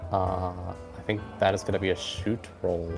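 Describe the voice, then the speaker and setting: A man's voice: sits low, flat delivery, normal speed, gravelly, soft background music. A man improvising narration as game master of a tabletop roleplaying game, with a hesitant, amused delivery.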